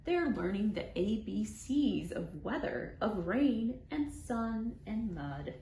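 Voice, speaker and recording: Casting: female children's storytime reader, unhurried and sing-song, playful with sound words, recorded in an echoey room. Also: medium pitch, very animated, gravelly, dark tone. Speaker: children's librarian, storytime host. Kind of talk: expressive picture-book read-aloud for young children, with rhymes. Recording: roomy echo, faint background noise.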